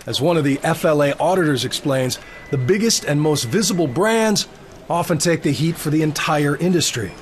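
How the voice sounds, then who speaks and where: A man's voice: on the high side, lively intonation, normal speed. A man reading scripted news narration as a television reporter.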